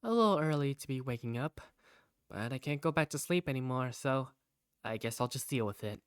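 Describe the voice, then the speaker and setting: A woman's voice: a bass voice, lively intonation, a little fast, smooth, dry recording, clean studio sound. A woman playing an easygoing young protagonist, narrating and speaking in a visual novel fandub in a casual, wry, playful way. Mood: laid-back.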